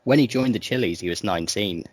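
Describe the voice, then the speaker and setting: A man's voice: on the low side, fast talker, dry recording. A man co-hosting a casual music podcast, talking in a wry, joking way. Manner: wry, joking, mimics people in quotes. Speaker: podcast co-host. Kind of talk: casual chat about music.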